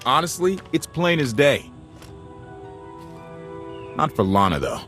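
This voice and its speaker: A man's voice: mid-range voice, huge pitch swings, slow pace, fairly bright tone, heavily acted. An actor playing a frank, loyal deserter captain in a video game cutscene, casual and earnest in his delivery. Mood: upbeat. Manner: casual, earnest, plain-spoken. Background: music.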